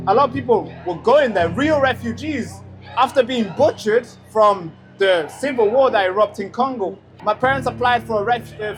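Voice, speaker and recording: High-pitched man speaking at a rally. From Tanzania. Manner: emphatic and repetitive, addressing a crowd. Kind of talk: outdoor rally speech. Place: outdoor rally. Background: music.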